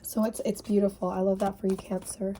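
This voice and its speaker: Soft-toned woman giving a tarot reading. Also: mid-range voice, little pitch movement, brisk, slight background noise. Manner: earnest, intuitive, many fillers like "like" and "um". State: sniffly, tired voice. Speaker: tarot reader.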